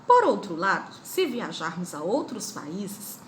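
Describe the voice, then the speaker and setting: A woman's voice: mid-range voice, sing-song, measured pace, slight background noise. A woman teacher with a Brazilian Portuguese accent, giving an online lesson and coaxing her students with questions. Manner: questioning, coaxing students, sing-song emphasis. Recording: echoey room, faint ambience.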